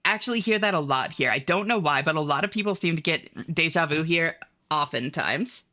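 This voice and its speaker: A woman's voice: low-pitched, expressive, a little fast, bright, forceful tone. A woman streaming a game, chatting casually and upbeat with her viewers, full of "like" and "um" and the odd swear. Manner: reads viewer messages aloud. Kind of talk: casual live-stream chat, answering viewers.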